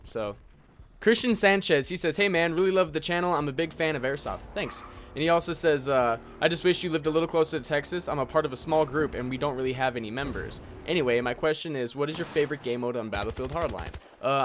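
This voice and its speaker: A man's voice: mid-range voice, a little hiss or hum. A twenty-year-old American man answering viewer questions in a casual, laid-back way, informal and often trailing off. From United States. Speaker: gaming and airsoft YouTuber.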